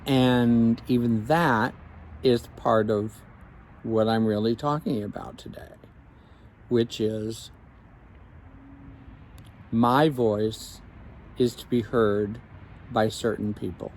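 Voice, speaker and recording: A man, a life coach, speaking slowly and reflectively in an evening vlog recorded outdoors on a phone. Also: mid-range voice, darkish tone, slight background noise. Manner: slow, measured, reflective.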